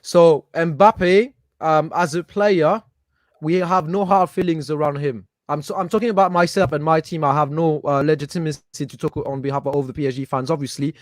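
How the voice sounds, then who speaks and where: Passionate Paris-born man, high and dry. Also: steady tone, normal speed, no echo. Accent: French. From Paris, France. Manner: passionate, repeats words while searching for them. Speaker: guest, football fan. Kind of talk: casual podcast debate.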